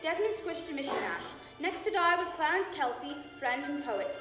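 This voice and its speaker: A high-pitched woman acting as the dramatic host of a spooky radio mystery theatre. She narrates from far off in an echoey hall, with music clearly behind her. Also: animated, normal speed. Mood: grimly droll.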